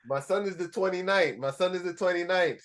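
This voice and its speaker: A man's voice: sits high, a little fast. A man doing a casual interview, encouraging and putting in short agreements.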